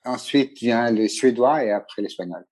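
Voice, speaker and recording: A middle-aged man speaks French, at a middle pitch. He talks loosely and with many pauses and restarts. He sounds like a guest photographer in a relaxed interview. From Italy. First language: Italian. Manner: hesitant, many restarts and fillers. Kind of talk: casual conversational interview.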